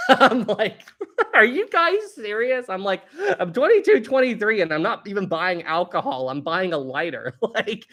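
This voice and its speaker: A man's voice: high-pitched, big pitch swings, measured pace, bright, forceful tone. A man in his thirties hosting a casual live stream, chatting and reading viewer comments aloud, laughing often. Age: 30s. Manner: chatty, laughs often, reads chat aloud with playful bursts.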